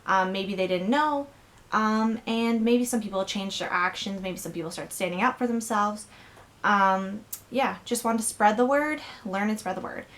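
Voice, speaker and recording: Young woman, candid, earnest, frequent fillers, bedroom vlog, mid pitch. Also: moderate pace, fairly bright tone. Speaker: vlogger. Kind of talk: personal rant to camera. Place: her bedroom.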